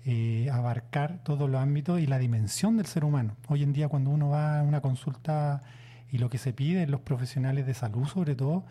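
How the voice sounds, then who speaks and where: Dark-voiced man, measured psychologist. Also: mid-range voice. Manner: measured, many "eh" fillers and "¿no es cierto?" tags. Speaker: psychologist guest. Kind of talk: explanatory radio interview.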